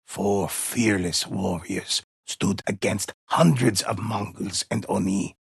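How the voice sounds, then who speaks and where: A solemn, ominous storyteller from a samurai game. His voice is low and extremely raspy, recorded very dry and close. He unfolds legends of spirits with deliberate, reverent mystery. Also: no room sound, exaggerated voice. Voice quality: husky, gravelly. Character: grave, mysterious male teller of demon legends.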